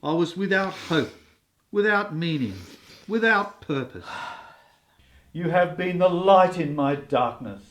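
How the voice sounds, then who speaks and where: A man's voice: high voice, slow pace, long pauses, a bit bright. A man playing a jaded, sardonic older radio actor in a comedy about a long-running serial. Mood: melodramatic, romantic.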